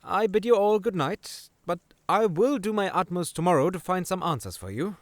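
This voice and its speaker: A man's voice: sits high, lively intonation, normal speed, no echo. A man playing an eager, bashful, sleepy boy scholar in a fantasy audio roleplay, hesitant and politely formal. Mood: flustered, sleepy. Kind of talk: audio roleplay drama acting.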